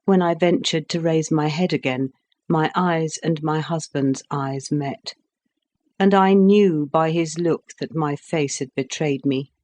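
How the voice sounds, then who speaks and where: A weary, wronged young wife, read by a man in an audiobook. Her telling is calm and cold, steady and subdued. The reader keeps close to his own voice. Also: on the high side, a little slow, natural voice. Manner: weary, subdued, evenly told.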